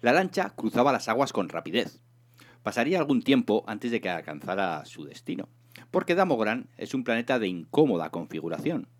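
A man's voice: fairly low pitch, very animated, fairly quick. A man with an accent from Spain, co-hosting and reading on a radio show in a colloquial, jokey way. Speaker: radio show co-host and reader. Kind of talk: casual scripted-radio chat, then novel reading. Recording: music behind the voice. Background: music.

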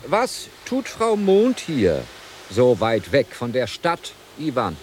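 Probing sea captain, slow, fairly high, hugely animated intonation, theatrical radio play. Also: male voice, background noise. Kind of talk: 1990s German radio play acting.

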